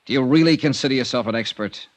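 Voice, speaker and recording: An actor playing a brisk, skeptical American insurance investigator in a vintage radio detective drama, clipped and wry. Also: male voice, mid-range voice, close to an everyday voice. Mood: probing, insistent. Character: hard-boiled male insurance investigator, brisk, skeptical, persistent. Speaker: investigator and first-person narrator.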